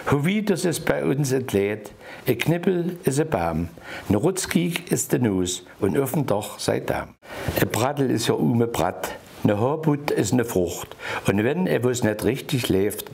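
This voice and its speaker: Gravelly older man in Erzgebirge dialect. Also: medium pitch, darkish tone. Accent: Erzgebirge Saxon. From Erzgebirge, Germany. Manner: rhythmic, rhyming delivery read from paper. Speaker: dialect poet. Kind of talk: reciting a humorous dialect poem. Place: small indoor room by a wood stove.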